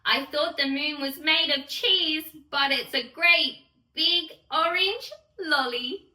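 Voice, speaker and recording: A woman voicing a fearless, excitable little fairy in a children's storybook, exclaiming with wide-eyed delight. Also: high voice, slow pace, exaggerated voice. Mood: amazed delight. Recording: roomy echo, distant microphone.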